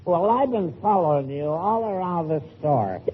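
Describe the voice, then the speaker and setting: A man playing a goofy, cheeky messenger pest in a halting 1940s radio comedy style. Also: on the high side, lively intonation, phone-quality sound, close to an everyday voice. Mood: goofy, sly. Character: goofy, cheeky male pest delivering bogus telegrams. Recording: thin, hissy 1940s radio recording.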